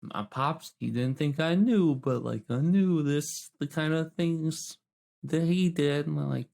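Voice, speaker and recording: Casting: woman tabletop player, low gravelly fry, casual improvised dialogue, insistent. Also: slow pace, mellow. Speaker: player in a tabletop roleplaying game. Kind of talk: casual in-character improvised chat.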